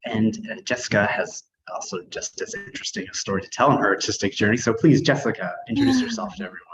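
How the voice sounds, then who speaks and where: A man hosting a live online artist talk, pausing often with filler words. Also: mid-range voice, wildly swooping pitch, gravelly, background noise. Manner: hesitant, frequent filler words. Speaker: arts council host.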